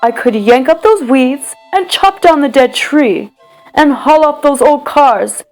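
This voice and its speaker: A woman's voice: sits high, lively intonation, measured pace, darkish tone, faint music behind, pitched below the performer's own voice, rough recording. A woman voicing an eager, boastful young boy from a storybook who talks in grandiose, singsong showman's patter. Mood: boastful, dreamy.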